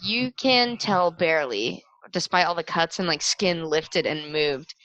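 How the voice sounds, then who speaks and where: Young woman running a tabletop rpg, lowish bright voice, kinda halting casual narration, dry sound. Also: expressive, no room sound, low-quality recording. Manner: halting, casual scene description. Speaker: game master. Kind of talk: improvised tabletop game narration.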